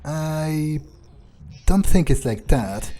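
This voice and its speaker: A man's voice: on the high side, lively intonation, very slow, warm tone, voice pushed up, full character voice. A man playing a cocky, crude vampire who relishes violence, with a hesitant, swearing drawl, in a roleplayed video game. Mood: blunt, scornful.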